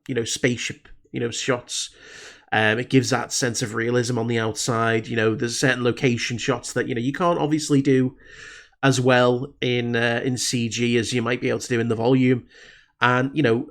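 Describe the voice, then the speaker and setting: A young British man with a slight head cold, chatting casually about a show with constant fillers and restarts. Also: medium pitch. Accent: British English. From Britain. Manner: rambling, many fillers and restarts. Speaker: reaction video host. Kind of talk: casual review chat.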